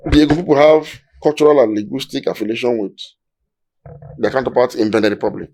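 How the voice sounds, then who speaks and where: A man's voice: medium pitch, fast talker, a little mellow. A Nigerian man with a Nigerian accent, explaining history in a discussion in an emphatic, lecturing way, building his points step by step.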